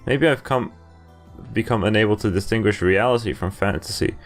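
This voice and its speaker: Low-pitched man reading a visual novel. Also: a little fast, soft background music. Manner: breaks off from reading for casual asides. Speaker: video game playthrough host. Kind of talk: reading visual novel narration aloud with casual commentary.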